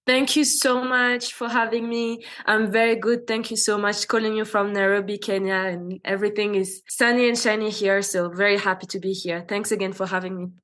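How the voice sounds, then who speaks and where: A woman's voice: mid-range voice, level tone, moderate pace, few pauses, a bit bright. A woman from France with a mid-range voice speaks as a guest in an interview. She is a business founder, giving conversational, explanatory answers.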